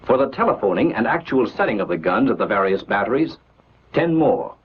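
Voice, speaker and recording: A man narrating a scripted 1940s military training film in a measured, instructional, declarative manner on an old film soundtrack. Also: fairly low pitch, sing-song, lo-fi sound, slight background noise.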